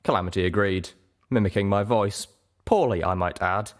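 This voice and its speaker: A man reading a story aloud as an audiobook narrator, in an expressive scripted style. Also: lowish voice, moderate pace. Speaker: audiobook reader.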